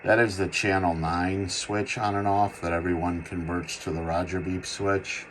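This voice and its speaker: A man's voice: deep voice, level tone, normal speed, a little hiss or hum. A man giving a casual, plain-spoken product demo in the style of a seller's vlog. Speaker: radio seller demonstrating gear. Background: CB radio running in the background.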